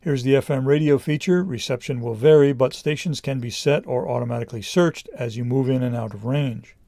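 A middle-aged man reading a scripted product review in a measured, explanatory way. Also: medium pitch, mellow, no room sound.

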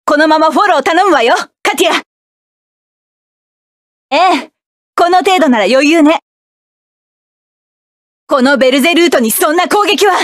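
A proud, sharp-tongued woman mecha pilot snaps curt battle orders in a high voice. She takes her time over each short game line, and the sound is close and dry. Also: no room sound. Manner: curt, commanding barks. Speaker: game character. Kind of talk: video game battle voice lines.

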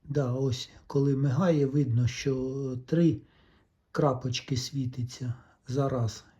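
A man giving a casual, step-by-step repair demonstration, thinking aloud in an unhurried, explanatory way. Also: medium pitch, steady tone, mellow, muffled recording. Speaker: electronics repair hobbyist narrating.

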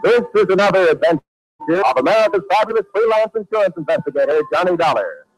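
Dramatic vintage radio mystery announcer. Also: male voice, sits high, animated, fast, rough recording. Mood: wry, dramatic. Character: wry insurance investigator and his show's announcer. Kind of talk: old-time radio drama. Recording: vintage radio recording with music.

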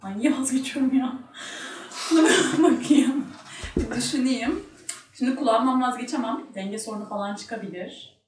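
High-voiced young woman chatting in a roomy space. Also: normal speed, a little mellow. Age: young adult. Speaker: talk show guest. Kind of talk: casual chat and anecdotes. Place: small studio room at a table. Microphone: shared table microphone. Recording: roomy, distant microphone.

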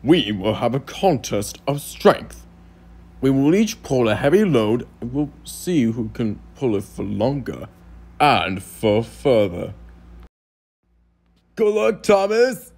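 Man voicing a raspy, pompous cartoon steam engine. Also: medium pitch, sing-song, takes it slowly, a little mellow, full character voice. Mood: smug, scheming. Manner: pompous, theatrical, sneering. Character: boastful, scornful silver steam engine, male, pompous rival. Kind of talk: amateur cartoon voice audition.

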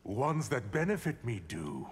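An actor playing a scheming, treacherous sorcerer in a video game, speaking in a deliberate, mocking, theatrical way. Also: male voice, mid-range voice, sing-song, very noisy. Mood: smug. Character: scheming, sneering male sorcerer, treacherous and menacing. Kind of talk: video game villain acting. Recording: long reverb under loud game music.

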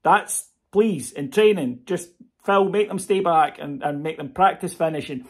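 A man in his forties talks straight into a close webcam from his memorabilia-filled room at home. He sits a little higher than most men and gives his solo football reaction with lively energy. He often stops for fillers and restarts. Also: unhurried, breathy edge. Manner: expressive, animated delivery with frequent fillers and restarts. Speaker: podcast host.

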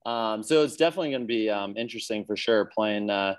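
A man's voice: lowish voice, fast talker, clean tone. A young American man, a baseball player, chatting easily as a podcast guest over a video call, with plenty of ums. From United States. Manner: easygoing, chatty, many fillers. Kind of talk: casual interview on a video call.

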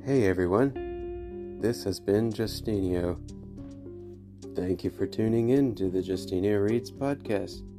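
Man, low voice, scary story narration, dramatic and suspenseful, faint music behind. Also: a little slow, long pauses. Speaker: podcast host and narrator. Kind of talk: first-person horror story narration.

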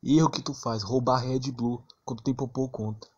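A man's voice: mid-range voice, fairly even intonation, moderate pace, darkish tone, breathy edge, highs cut off. A man with a Brazilian accent giving casual, slangy, blunt gaming commentary with mild cursing. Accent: Brazilian Portuguese. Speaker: gameplay streamer.

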